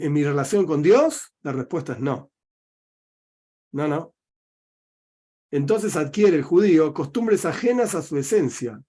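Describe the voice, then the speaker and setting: A middle-aged Argentine man teaching as a rabbi, in a voice pitched a little high for a man. He works through his points by putting questions to himself and answering them, in an unhurried teaching manner. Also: a little mellow. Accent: Argentine Spanish. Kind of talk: religious teaching talk.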